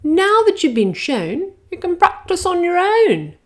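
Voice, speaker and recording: Woman as bossy dragon teacher, loud, high, commanding, slow. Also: huge pitch swings, exaggerated voice. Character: bossy female dragon schoolteacher.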